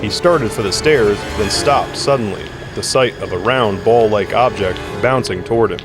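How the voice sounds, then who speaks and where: A man's voice: on the low side, normal speed, over music. A man reading dramatic scripted narration for an action audio drama.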